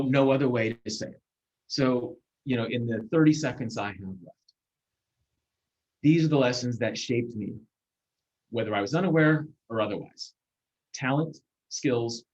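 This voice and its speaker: A man in his forties, a guest speaker, giving a measured, earnest talk over a video call, with fillers and restarts. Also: medium pitch, a little mellow, slightly rough. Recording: muffled video call audio.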